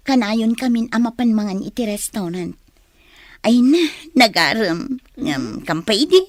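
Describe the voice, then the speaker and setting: A woman playing a sly, flirtatious, scheming market vendor in an Ilocano radio drama, with an expressive, drawn-out delivery. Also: a little high, dry recording. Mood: conniving, gleeful.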